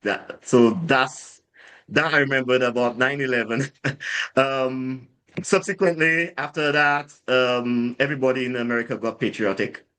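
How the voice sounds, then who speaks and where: A man's voice: mid-range voice, unhurried, clean tone, poor audio. A man from Saint Lucia with a Saint Lucian accent, a guest on a podcast interview, telling his story casually with many fillers and restarts, livening up as he recounts events. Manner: rambling, many fillers and restarts, animated when retelling. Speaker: guest, drinks brand founder. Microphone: podcast mic.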